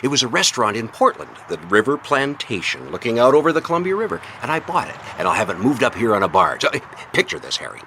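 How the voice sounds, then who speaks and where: A man acting a smooth, self-important restaurateur in a radio drama, with an expansive salesman's manner. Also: medium pitch, big pitch swings, fast, few pauses, sharp tone, slight background noise, full character voice. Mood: proud, selling.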